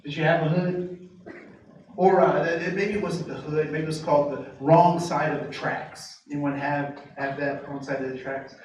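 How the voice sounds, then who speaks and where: A man giving a workshop to a live group, asking the audience many rhetorical questions and slowing down to stress key phrases. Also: a little high, little pitch movement, darkish tone. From United States. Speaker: diversity trainer. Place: training room with tables. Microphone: distant mic picking up the room. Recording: very echoey room, speaker far from mic, faint noise.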